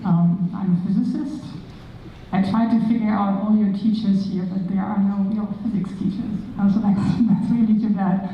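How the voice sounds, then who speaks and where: A woman's voice: mid-range voice, fairly even intonation, normal speed, slight background noise. German-accented woman in an echoey hall. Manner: chatty, many fillers, self-deprecating. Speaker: guest physicist speaker. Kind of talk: informal public talk. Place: school auditorium. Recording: echoey hall, distant mic, dull.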